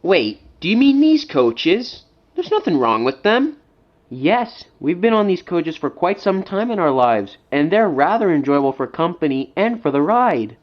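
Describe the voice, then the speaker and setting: A man's voice: fairly high pitch, sing-song, measured pace, muffled recording. A man voicing offended but polite train passengers in a cartoon, protesting as they speak. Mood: puzzled.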